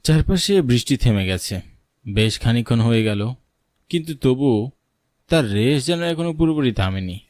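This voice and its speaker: A man's voice: mid-range voice, expressive, normal speed, long pauses, darkish tone, dry recording, clean studio sound. A man reading a scripted first-person story as its narrator. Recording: clearly noisy, rain and thunder behind. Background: rain and thunder sound effects.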